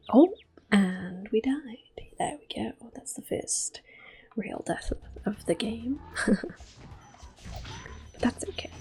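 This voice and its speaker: A woman in soft, unhurried whispers, commenting on her gameplay as an ASMR gamer. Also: on the high side. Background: soft game music and controller clicks.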